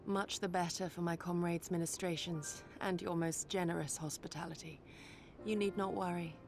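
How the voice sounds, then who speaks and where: A woman's voice: on the low side, steady tone, vocal fry, music in the background. A woman playing a dutiful, humble warrior retainer in a fantasy game, speaking in formal, courteous, archaic language. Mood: gracious. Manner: formal, archaic, measured speech.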